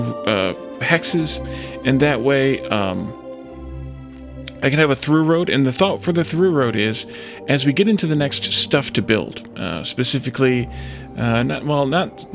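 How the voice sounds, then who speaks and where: A man giving unscripted gameplay commentary as a let's play host, thinking aloud in a hesitant, halting way. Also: medium pitch. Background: clear background music.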